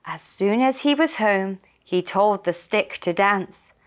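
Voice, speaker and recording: A woman narrates a children's puppet-show story. Her voice sits in a middle register, and she tells it slowly and deliberately, in simple phrases meant for small children. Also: medium pitch, expressive, clear, resonant tone.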